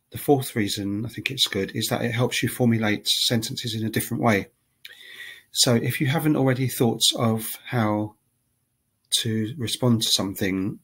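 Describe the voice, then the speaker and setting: A man talking casually to camera as a language-learning vlogger, chatty and relaxed, with frequent ums and restarts as he works through numbered points. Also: fairly low pitch, husky.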